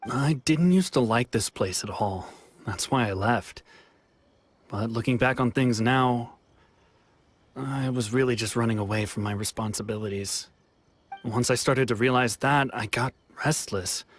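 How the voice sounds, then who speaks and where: A man's voice: mid-range voice, measured pace, a little hiss or hum, lightly acted. An actor playing an anxious young man in hiding in a video game, hesitant and sighing with regret. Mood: regretful. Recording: game soundtrack music behind.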